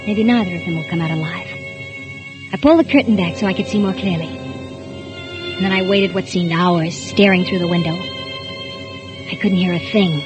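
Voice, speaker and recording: A woman's voice: medium pitch, lightly acted. Actress as a guilt-ridden housewife narrating her own dread, 1940s radio thriller, tense and fearful, over a music bed. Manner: tense, fearful, breathless delivery. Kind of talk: 1940s radio acting with first-person narration. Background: music underscore.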